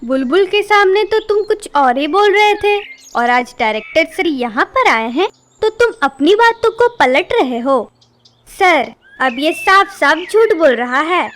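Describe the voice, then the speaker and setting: A woman voicing a scheming, bossy auntie bird with a shrill, scolding manner in a Hindi cartoon. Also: very animated, measured pace, fairly bright tone, exaggerated voice. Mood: accusing.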